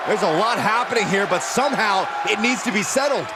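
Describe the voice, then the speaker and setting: A man playing an animated wrestling commentator calling action from ringside. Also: high voice, brisk, a loud crowd. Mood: excited. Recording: very noisy arena background.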